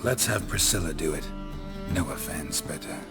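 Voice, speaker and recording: An actor playing a stoic, gruff swordsman in a video game, with a gravelly, laconic, deadpan delivery. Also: very deep voice, normal speed, full character voice. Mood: matter-of-fact. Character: stoic gruff monster-hunting swordsman, emotionally reserved. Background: music.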